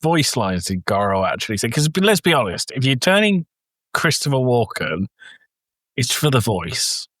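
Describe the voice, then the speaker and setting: A man's voice: medium pitch, sing-song, moderate pace, fairly bright tone, dry recording, studio quality. A man co-hosting a podcast with casual, playful, teasing banter. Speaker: podcast co-host.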